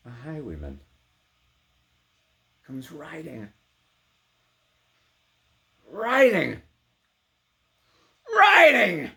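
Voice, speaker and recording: A man giving a theatrical, intense dramatic recitation of poetry, swinging between hushed delivery and full-throated cries. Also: on the high side, wildly swooping pitch, extremely slow, gravelly, long pauses. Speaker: poetry performer.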